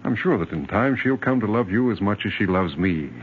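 A man's voice: lowish voice, animated, a little fast, natural voice. An actor playing a patient, doting father and soothing husband in an old radio drama. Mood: reassuring. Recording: dull vintage radio recording, faint noise.

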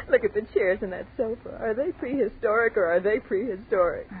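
An actress playing a brash, impatient, wisecracking college student in a 1940s radio drama, sarcastic and blunt. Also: female voice, a little high, moderate pace, phone-quality sound, natural voice. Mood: wry, relaxed. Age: young adult. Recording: scratchy, thin 1940s radio recording.

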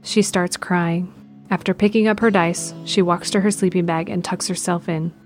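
A woman's voice: fairly low pitch, steady tone, normal speed, soft background music. A woman narrator reading scripted present-tense narration for an audio drama.

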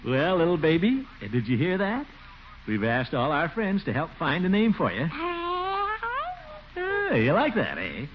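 A fussy, soft-hearted middle-aged uncle from 1940s radio comedy. His pitch is high and he takes his time, pompous and theatrical. It comes through a dull old broadcast recording. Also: wildly swooping pitch, slow, long pauses, a little hiss or hum, exaggerated voice. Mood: doting. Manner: theatrical, exclaiming, chuckling.

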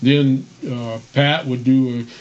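A man's voice: mid-range voice, flat delivery, slow, muffled recording. An older man co-hosting a radio show in casual, conversational talk. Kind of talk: casual chat about old radio westerns.

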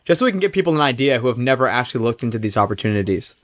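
Quick-talking male podcast host. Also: medium pitch, very animated, fairly bright tone. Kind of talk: casual interview questions.

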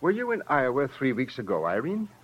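A man's voice: mid-range voice, wildly swooping pitch, a little fast, husky. An actor playing a troubled man pleading with the woman he loves in a 1950s radio crime drama. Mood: hurt, disbelieving. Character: troubled, pleading male partner of the murder suspect. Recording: noisy, dull vintage radio recording.

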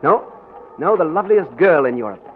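An actor as a jovial English gentleman. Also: a little high, expressive, fairly quick, natural voice. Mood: flirting. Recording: tinny old radio broadcast with faint hiss.

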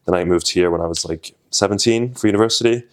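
A man's voice: on the low side, fast. A man in his twenties from Slovenia, a comedian chatting casually in an interview, rambling and self-deprecating with frequent restarts. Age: 20s. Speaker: comedian guest.

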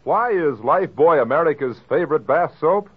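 A husky male radio pitchman in 1940s advertising style, heard on a tinny, phone-like old recording. His fairly high voice moves emphatically, with punchy stresses. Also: animated, normal speed. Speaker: radio commercial announcer.

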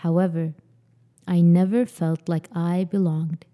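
Young woman hosting, dark mellow voice. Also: fairly low pitch, level tone, a little slow. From Sudan. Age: young adult. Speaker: podcast host. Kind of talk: scripted podcast conversation.

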